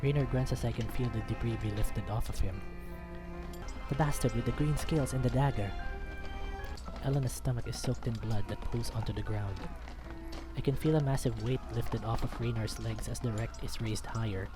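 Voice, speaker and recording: A man's voice: mid-range voice, fairly even intonation, fairly quick. A man reading a visual novel aloud as dramatic scripted narration. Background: background music.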